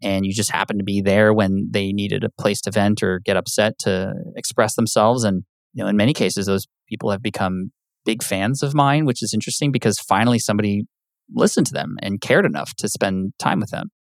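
A man with a fairly low voice answers questions as a podcast guest in an earnest, patient way, with lots of fillers. The sound is dry and close, with no room echo. He talks like he is in an easy, casual chat. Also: steady tone, fairly quick. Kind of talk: casual interview talk.

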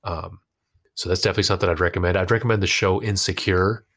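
A man with a notably low voice and an American accent speaks as a guest in a casual interview. His phrasing is informal and loose, circling back and feeling for words as he goes. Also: steady tone, fast, a bit bright. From United States. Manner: informal, hesitant phrasing with frequent "like" and "you know". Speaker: interview guest, actor and musician.

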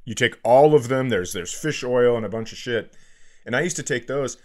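A man co-hosting a comedy podcast, telling halting, exaggerated stories in casual comic banter. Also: medium pitch.

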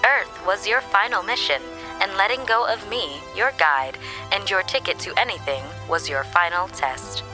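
A woman's voice: medium pitch, expressive, moderate pace, music in the background, natural voice, rough recording. A woman playing a female AI spaceship guide in an audio drama, able to switch from formal poise to playful sass. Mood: formal, serene.

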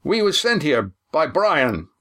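A man voicing a huge, loud, boisterous sailor with rustic nautical speech in an audiobook story. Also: sing-song, slow, full character voice. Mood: hearty, demanding. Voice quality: loud, booming. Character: huge-voiced boisterous sailor leader, rough and seafaring.

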